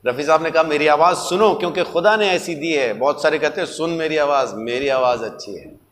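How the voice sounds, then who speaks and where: High-pitched man preaching in a hall. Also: brisk, fairly bright tone. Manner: emphatic, didactic, repeats key words for weight. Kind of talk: sermon with rhetorical questions and everyday anecdotes. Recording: long hall reverb.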